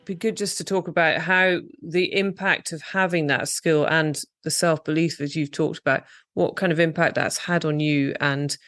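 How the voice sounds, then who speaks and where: A woman's voice: deep voice, fairly even intonation, normal speed, few pauses, a bit bright, no room sound, clean studio sound. A woman hosting a podcast and coaching presentation skills, talking in a friendly, encouraging way and prompting her guest with questions. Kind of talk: casual podcast conversation.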